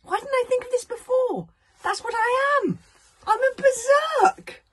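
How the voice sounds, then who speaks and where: A woman voicing a furious, berserk young Viking boy who shouts in a high, shrill voice. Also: animated, brisk, a little hiss or hum, natural voice. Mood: excited.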